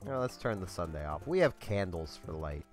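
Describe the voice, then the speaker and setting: A young man hosting a let's play, talking in a monotone, low-key, rambling way with a slightly scratchy voice. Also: normal speed, soft background music. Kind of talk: casual gameplay commentary.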